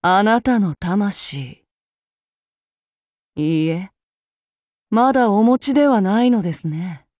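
A voice actress playing a courteous, archaic-spoken samurai woman with a demon side, her soft formal speech giving way to shrill battle cries. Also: animated, takes it slowly, pauses often, dry recording, clean studio sound. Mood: menacing, courteous. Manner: courteous and archaic with a menacing undertone. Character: polite, bloodthirsty demon-blooded samurai woman. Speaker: voice actress for a game character. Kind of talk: game character voice lines.

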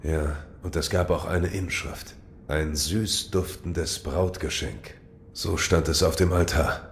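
An extremely low-voiced shinobi played by a man in an audio drama. He is terse, solemn and dutiful, with a clear rumbling noise behind him. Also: steady tone, slow pace, heavily acted. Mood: earnest, reserved. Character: stoic, dutiful adult shinobi warrior, loyal servant. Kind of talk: German audio drama acting.

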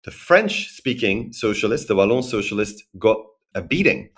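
Man explaining in lively runs. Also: fairly low pitch, normal speed. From Belgium. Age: 40s. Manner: lively, lectures in long structured runs with tag questions. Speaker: guest expert. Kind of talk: podcast interview, analytical explanation. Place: remote recording in Belgium.

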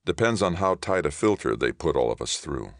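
A man voicing a calm, resolute veteran soldier in audiobook dialogue, measured and decisive. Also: extremely low pitch, dry recording, clean studio sound, full character voice. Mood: quiet, decisive.

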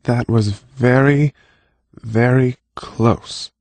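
A patient young man, a mage in a fantasy audiobook. His pitch is medium and he explains things informally, in a dry, close recording. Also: fairly even intonation, very slow, a little mellow, no room sound. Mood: patient, frank.

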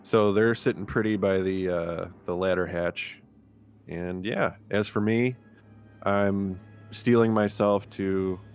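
A man with a very low-pitched voice talks casually as a player in a tabletop game. His delivery is conversational and informal. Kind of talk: casual chat.

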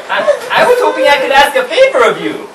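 A performer voicing an anxious, excitable rooster in an amateur puppet show, rambling and flustered. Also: high-pitched, quick pace, some chatter behind, slight background noise, full character voice. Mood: nervous pleading. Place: indoor room, puppet stage, far camera. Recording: roomy distant camera audio with music behind.

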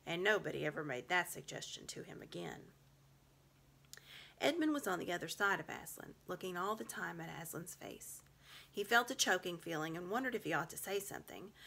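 A woman in her thirties reads a story aloud. Her voice is bright and slightly low, with expressive narration, recorded at home on a device in front of her. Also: vocal fry, husky. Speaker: storybook reader. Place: small room at home.

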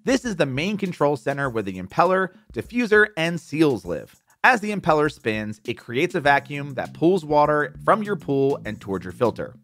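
A man giving scripted how-to narration in a clearly enunciated explainer voice. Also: fairly high pitch, very animated, normal speed, few pauses, no echo. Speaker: instructional narrator. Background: soft background music.

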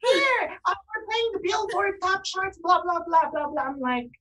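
A woman's voice: very high voice, lively intonation, moderate pace, sharp tone. A woman co-host telling stories in casual chat on a video call. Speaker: online radio show co-host. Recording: distant video-call microphone.

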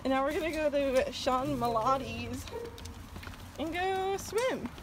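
Excitable young woman, high voice. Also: slow, background noise. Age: young adult. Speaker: vlogger. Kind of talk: casual chat while shopping. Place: shops inside a mall. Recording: music mixed in behind.

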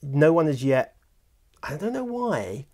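A man's voice: on the high side, big pitch swings, slow, a little mellow. A man in his thirties, a chatty and enthusiastic host, interviewing a guest in a small studio room on a lapel mic. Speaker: host and audiobook producer. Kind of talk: casual interview chat.

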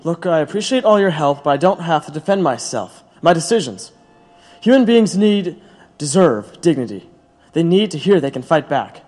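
An actor in an audio drama playing a proud, bitter labor radical, speaking earnestly and rhetorically. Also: male voice, fairly high pitch, sing-song, moderate pace, a little mellow. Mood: defiant. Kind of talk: dramatic audio play acting. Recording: long hollow reverb.

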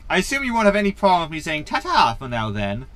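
Guy acting as smug hologram con artist in a sci-fi radio comedy, bright and swoopy, cheeky. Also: fairly high pitch, wildly swooping pitch, fast talker, dry recording. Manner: glib, mocking, hammy. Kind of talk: science fiction sitcom audio drama.

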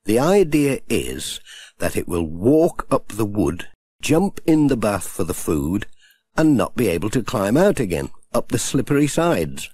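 A man reading a children's storybook aloud with gentle, expressive storytelling. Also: on the low side, measured pace, husky, dry recording. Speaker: audiobook narrator.

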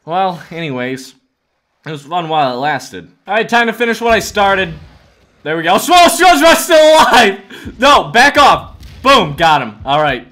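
A young man in his twenties hosts a gameplay video in a high voice that swings widely in pitch. He reacts dramatically and jokes along, talking to the game characters as he plays. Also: very animated, measured pace, pushed and bright. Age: 20s. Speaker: gaming video host. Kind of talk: excitable gameplay commentary.

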